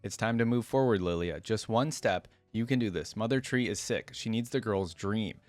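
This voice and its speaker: A shy, clumsy young fawn girl from a fantasy storybook, read by a man in a fairly low voice. The telling is gentle and hesitant, first person, in a dry close recording. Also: moderate pace, no room sound, soft background music, natural voice. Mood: nervous, self-coaxing. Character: shy, timid, clumsy young female fawn dream spirit.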